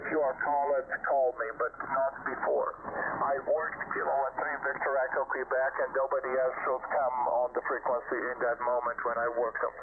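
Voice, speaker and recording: A man's voice: medium pitch, flat delivery, moderate pace, hardly any pauses. A man from Slovenia with a Slovenian accent, working as an amateur radio operator, exchanging contacts and call signs in procedural phrases over shortwave. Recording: noisy narrow-band shortwave radio signal. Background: radio static.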